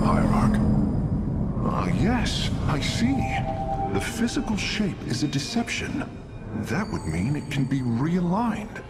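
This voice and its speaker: An alien engineer in a sci-fi game. He is earnest, precise and formal, with analytical phrasing that moves up and down freely over a loud score. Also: fairly high pitch. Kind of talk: dramatic game cutscene acting.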